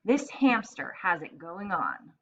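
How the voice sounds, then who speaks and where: A woman voicing a self-satisfied, excitable little male hamster telling his diary in a children's storybook. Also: on the low side, takes it slowly, exaggerated voice, poor audio. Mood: smug, fussy. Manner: self-satisfied, exclamatory, asks and answers himself.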